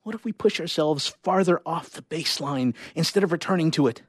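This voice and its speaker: A man's voice: on the high side, fairly quick, slightly rough, no echo, studio quality. A man voicing an anxious, hesitant scientist wife pleading in a dramatic short story.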